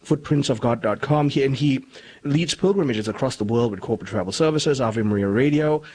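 A man hosting an interview show, introducing his guest in a polite, measured way. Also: medium pitch, a little mellow, slightly rough. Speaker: host.

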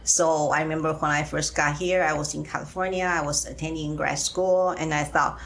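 A middle-aged woman from Taiwan with a Taiwanese accent, answering interview questions conversationally and haltingly, often pausing mid-phrase. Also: low-pitched, fairly even intonation, creaky. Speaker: interview guest, teacher. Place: radio studio.

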